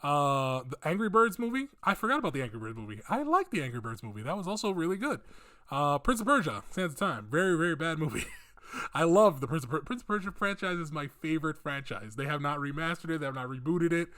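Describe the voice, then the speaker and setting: A man's voice: fairly high pitch, big pitch swings, fast talker, pushed and bright. A man hosting a casual opinion video, rambling conversationally and thinking aloud with emphatic points. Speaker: video host giving opinions. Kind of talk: casual rant commentary on video game films.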